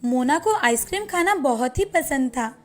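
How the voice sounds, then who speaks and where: A woman's voice: high-pitched, lively intonation, moderate pace. A woman storyteller reading a scripted moral tale as narrator. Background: faint background music.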